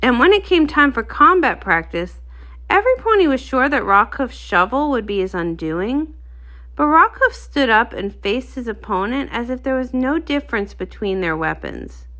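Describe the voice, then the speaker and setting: A woman reading a storybook aloud as an expressive narrator. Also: fairly high pitch, normal speed.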